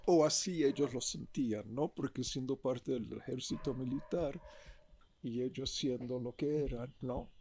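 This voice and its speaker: A man's voice: mid-range voice, warm tone, slight background noise. A man in his seventies from a Puerto Rican family, talking as a guest in a casual interview, rambling with tag questions and frequent self-corrections. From Puerto Rican family, born in Texas. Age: 70s. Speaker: guest artist.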